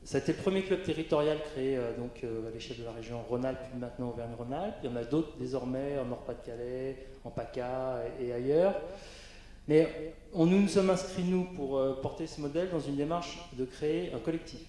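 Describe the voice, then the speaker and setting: Man, panel speaker, hesitant with fillers, mellow tone, very echoey conference hall. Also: medium pitch, fairly quick, a little hiss or hum. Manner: hesitant, frequent fillers and restarts.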